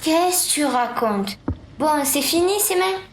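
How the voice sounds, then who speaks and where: A woman playing a practical, gently bossy mother in a radio drama. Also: high voice, animated, slow. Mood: sceptical, patient. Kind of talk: radio fiction acting. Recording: roomy sound, faint background noise.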